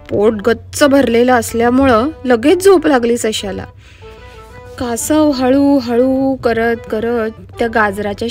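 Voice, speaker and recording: A woman narrating scripted moral stories for children in an expressive way, speaking directly to them. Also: fairly high pitch. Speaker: children's storyteller. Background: background music.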